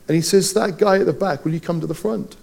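A man's voice: sits high, steady tone, fast talker, gravelly, a little mellow. A middle-aged Welsh man preaching a sermon, telling personal stories with playful asides. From Wales. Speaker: pastor.

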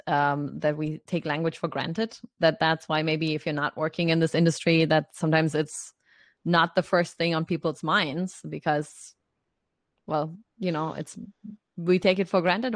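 Deep-voiced German-native woman. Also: steady tone, normal speed, no room sound, studio quality. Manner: chatty and reflective, laughs lightly. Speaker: podcast co-host. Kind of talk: casual discussion.

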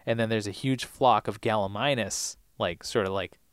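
Man with a clear, dry podcast voice. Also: lowish voice, normal speed, dry recording. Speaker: podcast co-host. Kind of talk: casual chat, recapping TV episodes.

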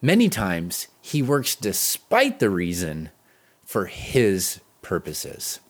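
Man reading a devotional with lively, varied pitch. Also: slow. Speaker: devotional host and pastor. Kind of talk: devotional reading, scripted narration.

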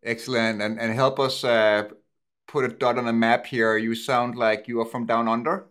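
A man hosts a podcast conversation in a formal, businesslike way. His intro sounds partly scripted, and his questions are brisk and to the point, in a mid-pitched voice. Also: flat delivery, a bit bright, smooth. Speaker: host, company CEO. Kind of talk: podcast interview hosting.

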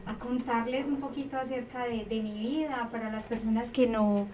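Woman, Colombian paisa accent, high voice, effusive, chatty interview guest. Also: steady tone, normal speed, slight background noise, rough recording. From Medellín, Colombia. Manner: warm and effusive, frequent fillers. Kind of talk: conversational interview storytelling.

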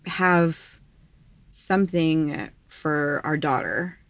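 A young woman hosting a podcast, gently interviewing her partner in casual conversation. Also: sits low, drawn-out words, no echo. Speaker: podcast host.

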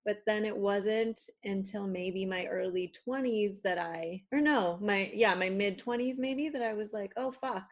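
A woman's voice: medium pitch, unhurried, few pauses. Young Toronto woman, native Spanish speaker, casual candid storyteller who acts out quotes. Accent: Toronto English. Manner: candid storytelling with swearing, acting out quoted people. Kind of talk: casual conversation.

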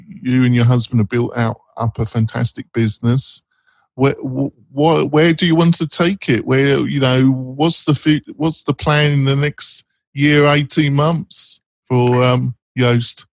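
A man's voice: medium pitch, steady tone, takes it slowly, dry recording. A man with a strong North London accent, hosting a panel in a chatty, joking way. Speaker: panel host. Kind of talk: panel discussion.

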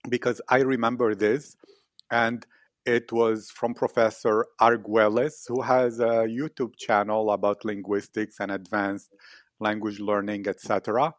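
A man's voice: lowish voice, level tone, unhurried, no echo. Male host, dry close sound, long inquisitive questions, interview. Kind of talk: podcast interview.

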